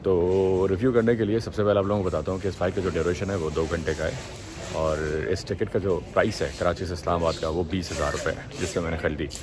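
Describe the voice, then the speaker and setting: Young guy filming himself on a phone, deep low voice, lots of airport and cabin noise behind. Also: fast talker. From Pakistan. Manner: relaxed, talks to camera, mixes English phrases in. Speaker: travel vlogger. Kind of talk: casual travel review. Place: airport terminal and plane cabin, indoors. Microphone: phone at arm's length. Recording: noisy airport and cabin background.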